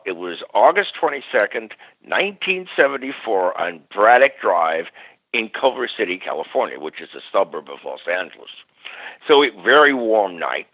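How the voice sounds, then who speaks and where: An older male parapsychologist telling stories as a radio guest, lively, acting out quoted voices. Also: lowish voice. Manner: animated, acts out quoted voices. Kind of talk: storytelling in a radio interview. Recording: muffled radio audio.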